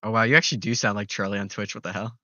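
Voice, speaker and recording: A man's voice: fairly low pitch, steady tone, very fast, sharp tone, dry recording, highs cut off. A young man streaming games, chatting casually, rambling, with frequent swearing and restarts. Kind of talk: casual gaming stream chat.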